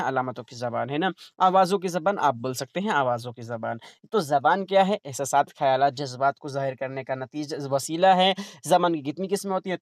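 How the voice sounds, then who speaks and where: Male teacher, fairly high voice, asks and answers his own questions, dead-dry close sound. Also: no room sound. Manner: repeats questions then answers them himself. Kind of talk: online exam-prep lecture.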